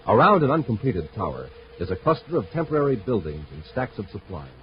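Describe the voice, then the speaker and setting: An American man performing as a dramatic announcer, narrating a vintage radio space adventure serial with deliberate delivery. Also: fairly low pitch, sing-song. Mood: dramatic, scene-setting. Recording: muffled, hissy vintage radio recording.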